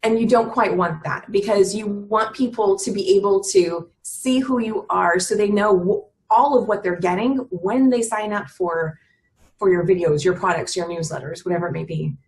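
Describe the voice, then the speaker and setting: A woman's voice: mid-range voice, moderate pace, darkish tone, rough recording. A woman in her thirties talking earnestly as an interview guest, often restarting her sentences and filling with "you know". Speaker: guest on a business podcast. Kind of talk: reflective conversational chat.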